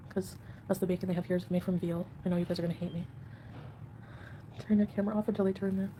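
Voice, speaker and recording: A woman's voice: mid-range voice, mellow, slight background noise. A woman hosting a casual livestream at home, chatting with viewers and answering comments in a rambling, defensive way. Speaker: livestream host.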